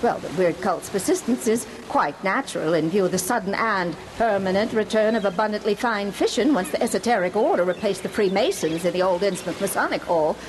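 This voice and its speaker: A woman's voice: mid-range voice, a little fast, hardly any pauses, a little hiss or hum, lightly acted, poor audio. An actress playing a prim, cultured woman, precise and genteel in her disapproval, in a radio drama. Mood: prim disdain. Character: prim, cultured woman disdainful of decaying town.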